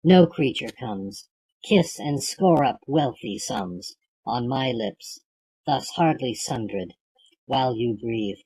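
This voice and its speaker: Slow, husky poetry reader of unclear gender. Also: mid-range voice, expressive, mellow, rough recording. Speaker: audiobook reader. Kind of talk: reading classic poetry aloud.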